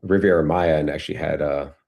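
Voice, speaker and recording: A man's voice: a bass voice, steady tone, a little fast, creaky, a little mellow. A man speaking as a guest in casual conversation, with hesitant, reflective phrasing. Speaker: guest, entrepreneur.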